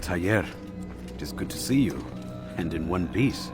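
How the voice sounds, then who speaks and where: Loud music sits under a very low-pitched, lively video game bureau keeper. He is a genial, chatty male adviser with courteous, gently teasing phrasing that swings up and down. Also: normal speed. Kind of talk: dramatic video game cutscene acting.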